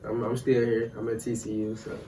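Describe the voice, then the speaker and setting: A man's voice: mid-range voice, completely flat delivery, fairly quick, dark tone, slight background noise. A young man from Texas, a student, introducing himself casually as a guest. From Dallas, Texas. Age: young adult student. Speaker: podcast guest. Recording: echoey room, distant mic, faint music.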